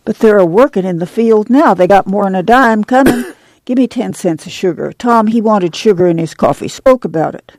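A man doing a weary old farm mother, creaky. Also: high-pitched, normal speed, a little mellow, no echo, pitched above the performer's own voice. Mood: pleading. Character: weary, proud older farm mother, gentle but firm. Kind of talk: audiobook character voice.

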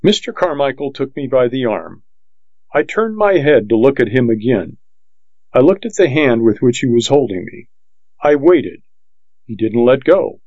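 A man's voice: mid-range voice, sing-song, normal speed, a little mellow, dry recording. Man narrating a western, dry and muffled. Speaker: audiobook narrator. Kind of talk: first-person western narration. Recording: dull, lo-fi dry audiobook recording.

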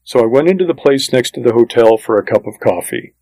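A man's voice: mid-range voice, expressive, fast, darkish tone, dry recording. A man reading a first-person western story as scripted audiobook narration, in a plain, matter-of-fact manner.

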